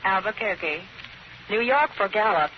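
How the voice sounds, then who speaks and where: An actress playing a brisk, formal long-distance telephone operator in a 1940s radio drama, polite and singsong. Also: female voice, medium pitch, lively intonation, natural voice. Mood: businesslike, detached. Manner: polite, formulaic, singsong officialese. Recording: dull, faintly noisy old radio, telephone-like.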